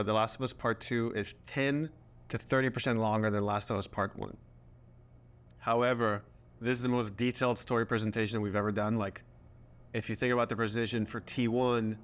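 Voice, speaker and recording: A man's voice: medium pitch, fairly bright tone, clear, resonant tone. A man giving a casual, chatty presentation to his studio team, with fillers. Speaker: game director presenting to a team. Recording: dull played-back documentary audio.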